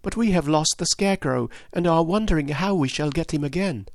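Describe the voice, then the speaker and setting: A man's voice: on the high side, fairly quick, dry recording, pitched above the performer's own voice, natural voice. A man voicing an earnest, eager young girl in a storybook reading.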